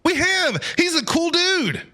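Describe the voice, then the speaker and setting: A man giving casual, slangy gameplay commentary as an excitable streamer. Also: very high voice, measured pace. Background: game music.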